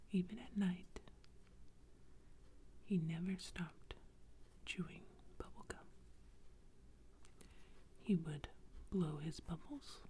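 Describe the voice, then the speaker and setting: A woman improvising a bedtime story in a soft, unvoiced ASMR whisper to help listeners sleep. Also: lowish voice.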